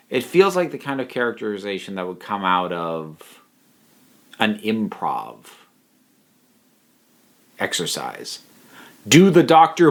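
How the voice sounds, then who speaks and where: Guy doing a casual opinionated review to viewers, mid pitched voice, stops and starts while he thinks out loud. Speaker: video reviewer and channel host.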